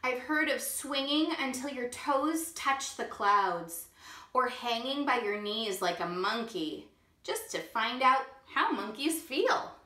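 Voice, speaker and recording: Woman, kindergarten teacher, reading aloud to kids; gentle, slightly high voice, echoey room. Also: lively intonation. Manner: gentle storytime reading, pausing to ask children questions. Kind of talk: reading a picture book aloud to children.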